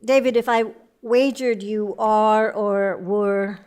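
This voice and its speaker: A woman's voice: medium pitch, fairly even intonation, takes it slowly, a little mellow, smooth. A woman host and interviewer, reading credits and putting prepared questions to guests. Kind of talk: scripted credits and interview hosting.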